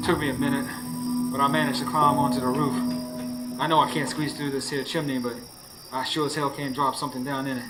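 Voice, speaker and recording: An actor playing an anxious, determined husband who narrates a ghost hunt in a folksy, urgent way in a live radio drama. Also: male voice, medium pitch, level tone, fairly quick, loud music behind the voice, natural voice, rough recording. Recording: live stage recording, clear background noise.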